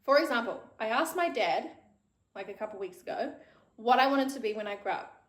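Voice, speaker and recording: A woman's voice: fairly high pitch, animated, a little fast, reverberant. A woman in her thirties with a New Zealand accent, chatting frankly and casually on her own show, swearing freely. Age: 30s. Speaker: podcast host. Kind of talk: casual solo chat.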